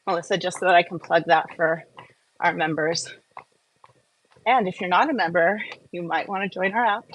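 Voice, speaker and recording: A woman's voice: on the low side, steady tone, moderate pace, fairly bright tone, slight background noise, rough recording. A woman hosting a casual live Q&A, talking in an informal, rambling, chatty way. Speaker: live-stream host.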